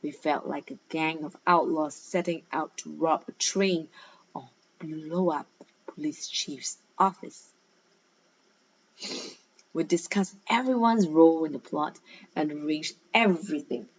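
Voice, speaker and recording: Female teacher for guided reading lesson: very slow pace, instructive questioning, emphatic key words, slightly muffled lo-fi recording. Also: low-pitched, slight background noise. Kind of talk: guided read-aloud lesson with questions and explanations. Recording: dull, lo-fi sound.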